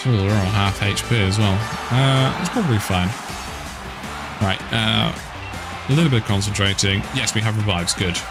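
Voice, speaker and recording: A man's voice: lowish voice, normal speed. Man grumbling through a game. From United Kingdom. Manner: wry, grumbling asides while reading screens aloud. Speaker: gaming streamer. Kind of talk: casual let's-play commentary. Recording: game music behind the voice. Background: video game music.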